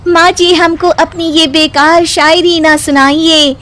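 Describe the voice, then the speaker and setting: A woman's voice: extremely high pitch, steady tone, slow, a bit bright, full character voice. A woman voicing a feisty, exasperated young daughter-in-law with a pointed way of talking in a cartoon comedy story. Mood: defiant, theatrical. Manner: pointed, complaining.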